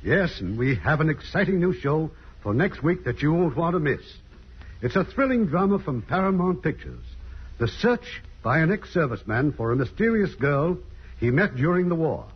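A man hosts an old radio show with courteous, scripted showmanship. His voice is highish and very husky, swinging widely in pitch, on a muffled mid-century broadcast. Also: big pitch swings, moderate pace. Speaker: host. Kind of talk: scripted 1950s radio hosting and guest banter.

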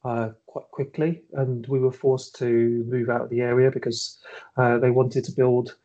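A middle-aged man from Birmingham speaks with a Birmingham accent at a medium pitch, and his sentences keep stalling on fillers and restarts. He laughs at himself as he goes. The audio sounds dull and lo-fi. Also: flat delivery, measured pace, warm tone. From Birmingham, England. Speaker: interview guest. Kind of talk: podcast interview.

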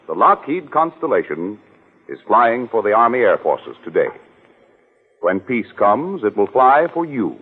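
A man announcing in 1940s radio style, reading a script with measured emphasis. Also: mid-range voice, breathy edge, phone-quality sound.

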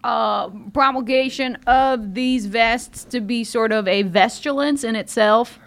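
A woman's voice: on the high side, unhurried, gravelly, a bit bright. A woman playing a folksy, easygoing backwoods elf, bantering in mock-serious improvised comedy. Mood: earnest, playful. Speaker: podcast co-host.

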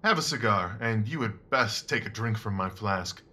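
A man playing a composed, shrewd gentleman detective in a Victorian audio drama, speaking in a measured, formal, authoritative way. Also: lowish voice, animated. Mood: courteous, probing.

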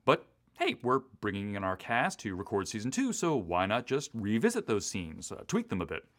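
A man's voice: lowish voice, wildly swooping pitch, normal speed. A man from the US East Coast talking casually and in detail as a writer being interviewed, in an enthusiastic, digressive way. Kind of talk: casual, detailed behind-the-scenes chat.

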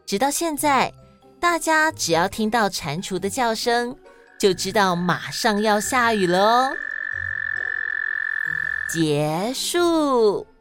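A woman with a Taiwanese Mandarin accent narrating and explaining in a scripted, expressive style for children. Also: medium pitch, slow, long pauses, soft background music. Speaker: children's story podcast host and narrator.

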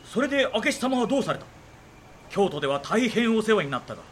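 Wary middle-aged warlord, lordly archaic diction, highish and very animated, period audio drama. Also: male voice, fast, slight background noise. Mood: wary, musing. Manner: archaic, lordly samurai speech. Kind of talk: Japanese period drama acting.

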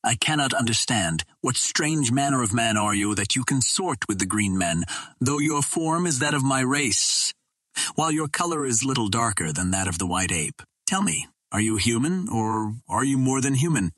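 A man voicing a proud young captive Martian princess in an adventure storybook, formal and questioning, with a husky voice. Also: medium pitch, expressive, normal speed, fairly bright tone, no echo. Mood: bewildered.

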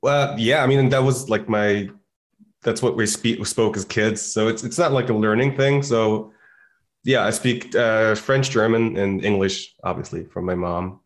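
A man from Switzerland, a musician guest talking on a video call, relaxed and joking, with loose "like"s. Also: on the low side, unhurried. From Basel, Switzerland. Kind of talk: casual interview chat.

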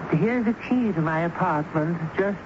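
An actor playing a fussy, wistful office witness in a radio drama, halting yet emphatic. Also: male voice, high-pitched, animated. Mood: wistful, rueful. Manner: halting, emphatic, repeats himself. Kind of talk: 1970s radio drama acting. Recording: muffled, noisy 1970s radio broadcast.

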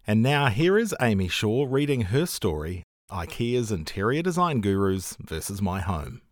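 Lively low-voiced New man hosting. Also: moderate pace, dry recording. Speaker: podcast host introducing and interviewing. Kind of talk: hosted news podcast interview.

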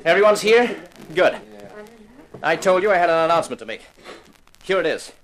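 An actor playing a curt, unyielding, self-interested heir in a 1950s radio mystery, with a clipped, commanding manner. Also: male voice, fairly high pitch, animated, normal speed, a bit bright, poor audio. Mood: cold, resolute.

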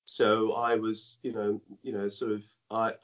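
Low-voiced man, halting and reflective. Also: completely flat delivery. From Dominica. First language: Dominican French patois. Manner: halting, many "you know" fillers and restarts. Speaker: former cricketer, guest. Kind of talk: reflective interview storytelling. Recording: dull lo-fi sound.

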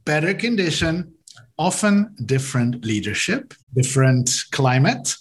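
A man's voice: a little high, very animated, measured pace. A man from Switzerland, a native German speaker, explaining leadership ideas as a podcast guest, often repeating words as he talks. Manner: explanatory, uses garden metaphors, repeats words. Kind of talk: interview conversation.

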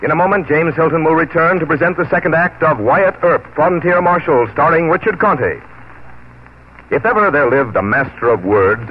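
A man delivering scripted 1940s radio announcements in a polished, measured, emphatic way. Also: fairly high pitch, narrow, tinny audio. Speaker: announcer and sponsor spokesman. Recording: thin, noisy 1940s radio broadcast.

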